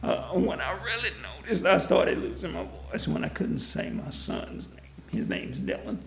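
An older man with a gravelly, strained voice, telling his story in an animated, laughing way. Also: sits high, moderate pace, echoey room, a little hiss or hum. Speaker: interviewee, museum founder. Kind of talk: storytelling interview. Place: his museum, indoors.

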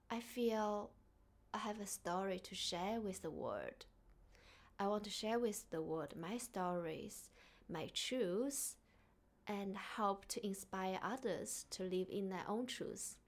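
A woman from China with a Chinese accent, speaking earnestly and softly as the host of a reflective solo podcast. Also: medium pitch, expressive, takes it slowly, long pauses.

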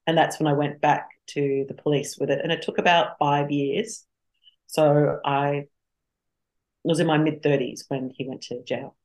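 A woman's voice: sits low, fairly even intonation, a little slow, a little mellow, low-quality recording. A middle-aged woman with an Australian accent, speaking frankly and reflectively as an interview guest. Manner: frank and reflective, laughs easily. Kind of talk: candid interview conversation.

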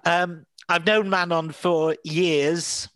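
A man with a Manchester accent, a priest who hosts a podcast, reflecting aloud in a meandering, thoughtful way, often pausing on fillers and starting his sentences over. His voice sits a touch high for a man. It is close to the mic and very dry, with no room sound. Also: steady tone, a bit bright, dry recording. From England. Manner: thoughtful, rambling with many fillers and restarts. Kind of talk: casual conversational podcast chat.

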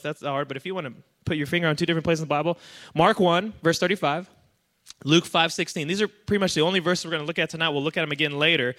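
Bright-voiced male pastor, slightly high, energetic and chatty, asks the crowd for responses. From Iran. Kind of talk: sermon to a young adult congregation.

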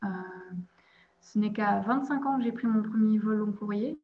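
Flat-voiced Belgian woman, muffled audio. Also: medium pitch, moderate pace. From Belgium, province of Liège. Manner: hesitant, many "euh" fillers, laughs lightly. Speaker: podcast guest. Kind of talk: casual interview chat.

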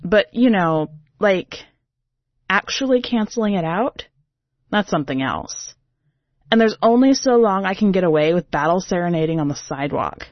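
A woman's voice: on the low side, lively intonation, normal speed, dry recording, muffled recording, close to an everyday voice. A woman reading a first-person story as a brash, sardonic singer, in a slangy, emphatic, conversational way. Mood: brash, boastful. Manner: sassy, slangy, irreverent, emphatic. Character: brash, sardonic urban woman singer, self-declared diva, telling her tale.

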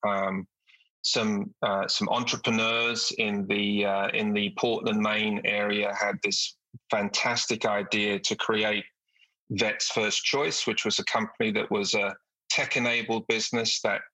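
British man, husky and bright. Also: on the low side, little pitch movement, dry recording, rough recording. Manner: measured, with frequent ums and uhs. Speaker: guest, company executive. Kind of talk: podcast interview.

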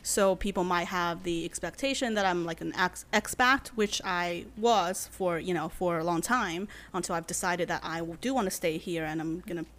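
A woman in her late twenties from China, a native Chinese speaker, answering interview questions thoughtfully with many pauses and fillers. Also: on the low side, fairly bright tone. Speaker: guest. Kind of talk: casual interview.

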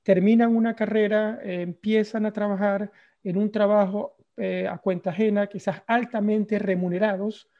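A woman's voice: mid-range voice, steady tone, normal speed. A woman from Latin America with a Latin American accent, hosting a podcast interview in a conversational style. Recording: dull, muffled lo-fi sound.

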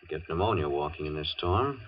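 A man's voice: extremely low pitch, lively intonation, fairly quick. An actor playing a coolly menacing killer at the wheel in a 1940s radio thriller, with clipped, offhand tough-guy delivery. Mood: firm, suspicious. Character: menacing male killer driver, coolly casual. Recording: muffled old radio broadcast, faint noise.

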